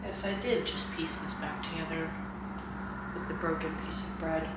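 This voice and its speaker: A woman's voice: low-pitched, steady tone, moderate pace. A young woman with a stuffed-up nose chatting casually and rambling to her vlog viewers in a self-deprecating way. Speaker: vlogger. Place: indoors at home, in a kitchen. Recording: echoey room, distant mic, loud noise.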